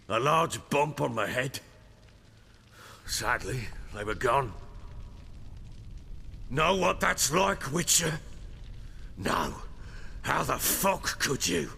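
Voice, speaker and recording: Broken boozy lord in a game, guilty and bitter, sharp gravelly voice, big reverb. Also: male voice, a little high, slight background noise, exaggerated voice. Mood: anguished, bitter. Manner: anguished, dramatic, coarse-mouthed. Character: grief-stricken, hard-drinking lord, guilty, bitter, hot-tempered father. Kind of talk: dramatic video game acting.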